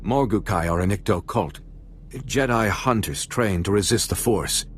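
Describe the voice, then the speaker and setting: An actor playing a formal, earnest and worried ambassador in a video game. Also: male voice, on the low side, unhurried, a little hiss or hum, natural voice. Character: troubled, formal male ambassador. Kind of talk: video game character dialogue.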